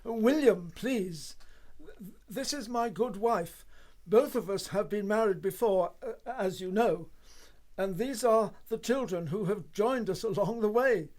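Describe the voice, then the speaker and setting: A genial, fussy father of the Georgian era played by a man in a period radio play. His voice sits high for a man, and his manner is polite, hospitable and prone to hesitant stammering. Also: a little slow, darkish tone. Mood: welcoming.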